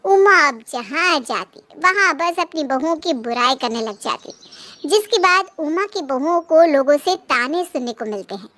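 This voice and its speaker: Casting: female storyteller for cartoon moral tales, extremely high voice, scripted narration. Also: animated, moderate pace, bright, forceful tone, no room sound.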